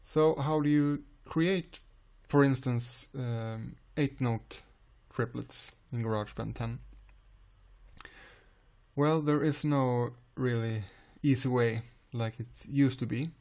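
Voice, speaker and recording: Slow, gravelly man with a Swedish accent. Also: mid-range voice. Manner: calm, unhurried explaining with frequent "uh" pauses. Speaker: music software tutor. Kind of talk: screen-recorded software tutorial.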